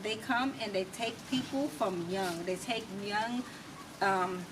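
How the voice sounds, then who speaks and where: An American woman giving her opinions as an interview guest, emphatic and rhetorical, speaking in deliberate, repeated phrases. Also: mid-range voice. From United States. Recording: distant mic with clear background noise.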